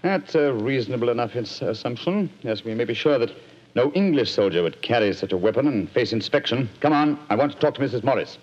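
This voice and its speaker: An actor playing a brisk, commanding middle-aged detective with a crisp, clipped, theatrical delivery, in 1940s radio acting on a lo-fi broadcast. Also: male voice, mid-range voice, expressive, close to an everyday voice.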